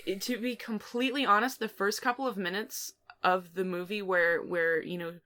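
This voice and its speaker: A woman's voice: medium pitch, fairly even intonation, moderate pace, pushed and bright. A woman co-hosting a podcast, talking casually and ramblingly in an informal, teasing film review chat. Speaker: podcast co-host.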